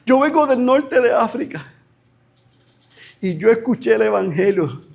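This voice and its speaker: A man preaching a sermon as pastor, acting out imagined conversations with animated emphasis. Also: very high-pitched, measured pace, vocal fry.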